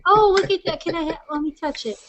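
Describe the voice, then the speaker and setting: A woman's voice: very high voice, fast, dull audio, crowd noise. A playful, giggly woman chatting casually as a podcast co-host. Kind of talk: casual chat.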